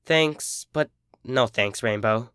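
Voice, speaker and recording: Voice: medium pitch, slow pace, a bit bright, clean tone, no echo, studio quality. A narrator voicing a dejected, defensive young cartoon pegasus filly, hesitant and mumbling. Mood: dejected, evasive.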